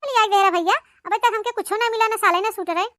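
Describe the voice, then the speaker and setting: Very high, bright, plaintive Awadhi village girl voiced for a cartoon. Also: quick pace, no echo, studio quality. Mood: complaining. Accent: Awadhi, eastern Uttar Pradesh. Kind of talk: cartoon comedy dialogue.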